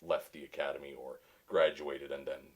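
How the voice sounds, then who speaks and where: A voice actor with a very deep, resonant voice, answering questions in a casual interview with dry wit. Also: male voice, little pitch movement, moderate pace.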